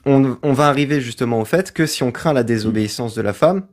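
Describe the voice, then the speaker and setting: A man's voice: medium pitch, quick pace. A man hosting a live debate, pressing his opponent with insistent, probing questions and demands for sources. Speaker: stream host and debater.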